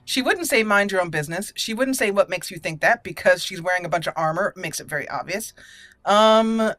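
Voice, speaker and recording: Bright-voiced woman hosting a game playthrough. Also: medium pitch, animated, a little fast, dry recording. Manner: chatty, playful asides. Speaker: gaming video host. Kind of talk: roleplay game commentary, partly reading dialogue choices aloud.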